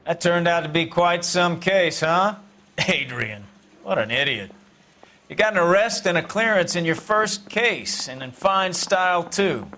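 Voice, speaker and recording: An actor playing a brash, approving police superior in a video game, brisk and commending. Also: male voice, high voice, expressive, sharp tone, heavily acted. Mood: pleased, brisk. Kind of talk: dramatic video game acting.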